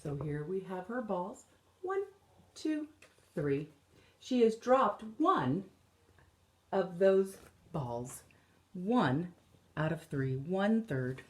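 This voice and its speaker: A woman in her thirties, an elementary teacher, reading a picture book aloud as a lesson for children, explaining deliberately and repeating key phrases. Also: lowish voice, very animated. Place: living or dining room at home, indoors.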